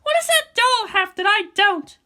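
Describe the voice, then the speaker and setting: A woman's voice: very high-pitched, lively intonation, normal speed, sharp tone, voice pushed up, full character voice. A woman voicing a proud, brash but vulnerable teenage girl in a fan fiction reading. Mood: vulnerable, pleading.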